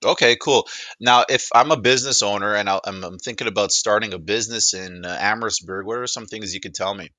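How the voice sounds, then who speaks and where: A man presenting a casual product demo, putting questions to a voice assistant in hesitant, halting phrases. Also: lowish voice, pushed and bright, dry recording.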